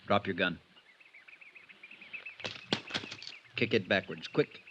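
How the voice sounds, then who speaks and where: An actor as a low, menacing gunman. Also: brisk, lightly acted. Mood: threatening. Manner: curt, commanding, threatening. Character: menacing secretive gunman giving curt orders. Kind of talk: 1950s radio drama acting. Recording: muffled vintage radio, clear hiss.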